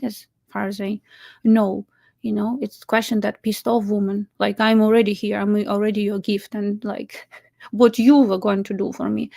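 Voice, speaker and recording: A woman's voice: on the high side, vocal fry, a little mellow. A woman from Ukraine with a Ukrainian accent, a matchmaker talking casually with many fillers, restarts and laughs. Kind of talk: casual chat.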